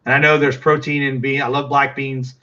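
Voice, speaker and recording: A man's voice: medium pitch, level tone, fairly quick, pushed and bright, lo-fi sound. A middle-aged man with a Texas accent, chatting casually with viewers on a livestream, rambling with lots of fillers and restarts.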